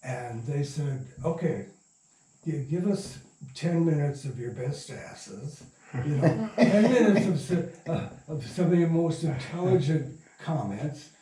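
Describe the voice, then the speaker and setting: A man's voice: a little high, animated, slow pace, dark tone. An older man being interviewed, telling anecdotes in a casual, rambling way. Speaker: interview guest. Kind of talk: casual storytelling reminiscence. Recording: roomy echo, distant from mic.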